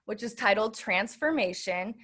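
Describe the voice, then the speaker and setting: A woman's voice: mid-range voice, expressive, moderate pace, a bit bright. A woman hosting a casual interview, asking questions in an effusive, informal way. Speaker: interviewer, event host.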